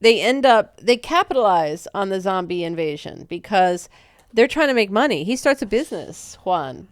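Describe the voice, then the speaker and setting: Smooth-voiced woman recapping a movie. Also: mid-range voice, lively intonation, normal speed, no room sound, clean studio sound. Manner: chatty, rambling with fillers, laughs easily. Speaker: podcast co-host.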